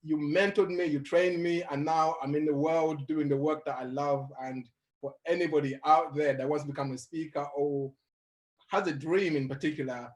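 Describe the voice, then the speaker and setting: A man's voice: fairly high pitch, moderate pace. Ugandan-born man telling his story. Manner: animated, laughs while telling his story. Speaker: guest motivational speaker. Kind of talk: conversational interview storytelling.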